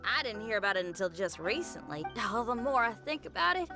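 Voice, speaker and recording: A woman voicing a spirited young rock musician in a video game, folksy, earnest and expressive. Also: on the high side, a little fast. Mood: musing. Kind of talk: animated game dialogue acting. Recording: music behind the voice. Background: game background music.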